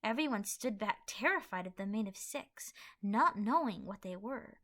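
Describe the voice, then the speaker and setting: A woman's voice: medium pitch, lively intonation, normal speed, a bit bright. A woman giving scripted storybook narration as the narrator of a fan fiction audio play.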